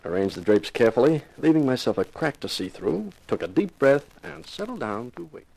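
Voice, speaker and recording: Wry, animated hard-boiled private eye. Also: male voice, mid-range voice, brisk, creaky, rough recording. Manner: wry, tough-talking. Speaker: lead actor and narrator. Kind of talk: 1950s radio detective drama, first-person narration and dialogue.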